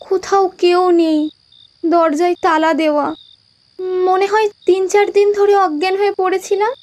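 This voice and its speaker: A high-voiced invisible girl of about twenty in a cartoon ghost romance. She is gentle, shy and wistful, and talks in an intimate, storytelling way. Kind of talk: Bengali animated love ghost story dialogue.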